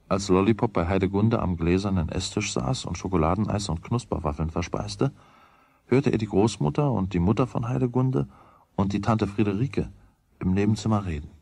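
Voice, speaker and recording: An actor playing the storyteller, narrating a German children's radio play from a script. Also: male voice, fairly low pitch, gravelly, darkish tone, no room sound. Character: male storyteller of a children's tale.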